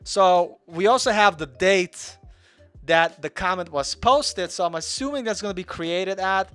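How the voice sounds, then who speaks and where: A young man from Russia explains his code in a relaxed, chatty way, wandering off on small tangents and poking fun at himself. His voice sits a little high for a man. Soft music plays faintly underneath. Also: unhurried, clear, resonant tone. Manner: chatty, rambling, self-deprecating. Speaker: coding tutor. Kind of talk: casual live coding walkthrough.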